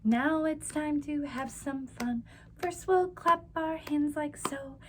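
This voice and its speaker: Female storyteller for young children: slow, deliberate read-aloud, slightly high pitch, encouraging delivery. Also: a bit bright, a little hiss or hum. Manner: simple, encouraging address to young listeners.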